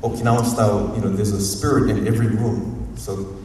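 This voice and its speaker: A university professor from Hawaii with a Hawaiian accent, giving a rambling, informal, unscripted talk at a community forum and often chuckling. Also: male voice, on the low side, level tone, a little fast, dark tone. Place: museum auditorium. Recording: echoey hall, distant mic, faint noise.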